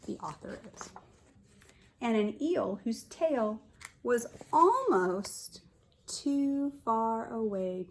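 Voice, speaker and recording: A woman in her forties reading a picture book aloud to young children as a kindergarten teacher, expressive and explaining as she goes. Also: medium pitch, gravelly, slight background noise, low-quality recording. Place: living room at home, indoors.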